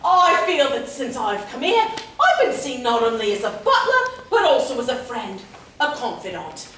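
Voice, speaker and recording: A woman playing a nervous, over-dramatic butler in a theatrical murder-mystery farce, speaking in a flustered, halting way. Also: on the high side, big pitch swings, fairly bright tone. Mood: formal, nervous. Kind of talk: theatrical farce stage reading. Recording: distant echoey room, faint noise.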